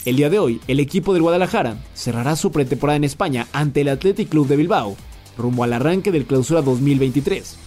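A man's voice: a little high, soft background music. Man reading sports news headlines. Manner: brisk, even headline delivery.

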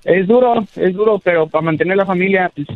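A man calling in to a radio show, adoring and halting, with many fillers and restarts. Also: sits high, no echo, faint music behind. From United States. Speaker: radio caller. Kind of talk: phone call to a radio show. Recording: tinny phone line.

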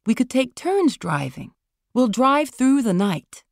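A woman's voice: on the high side, big pitch swings, slow pace, gravelly, dry recording, clean studio sound, voice pushed up, exaggerated voice. A woman voicing a glamorous ageing actress with a grand, theatrical manner. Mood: insistent.

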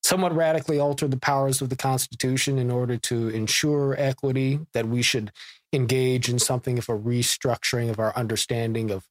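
A man hosting a podcast, framing long questions in a halting way with many fillers and restarts. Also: mid-range voice, fairly even intonation, dry recording. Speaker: podcast host. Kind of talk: conversational podcast interview.

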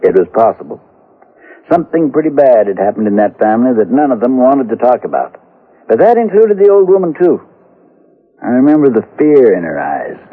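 A rueful, dogged frontier lawman telling his tale in a western radio play. His voice is rough-edged and medium in pitch, with measured delivery. The recording is boxy, old radio sound. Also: very animated, phone-quality sound. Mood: grave, reflective. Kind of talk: old-time radio drama narration.

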